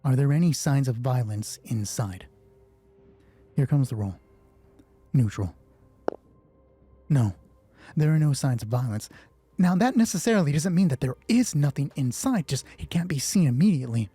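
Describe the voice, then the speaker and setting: Male solo RPG host. Dark, soft-edged, slightly rough voice; dramatic narrator who drops into casual rules talk. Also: medium pitch, expressive, normal speed, pauses often, soft background music. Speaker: solo roleplay game master and host. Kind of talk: tabletop roleplay narration mixed with casual rules explanation.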